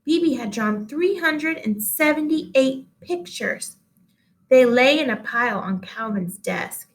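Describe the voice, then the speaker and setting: A woman reading a children's storybook aloud in an expressive storytelling style. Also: sits high, takes it slowly.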